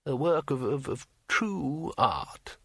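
A man voicing an effete, sly astronomer ruler in an audiobook, with hushed, drawn-out, stammering speech. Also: fairly high pitch, big pitch swings, vocal fry, no room sound, clean studio sound, voice pushed up, full character voice. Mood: hushed admiration. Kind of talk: audiobook character voice.

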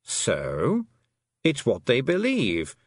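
Lawyerly talking toad, voiced by a man, flat dry reads, close dry sound. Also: medium pitch, drawn-out words, husky, no room sound, exaggerated voice. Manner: dry, matter-of-fact, lawyerly. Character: pedantic male talking toad, formerly human. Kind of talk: audiobook character voice.

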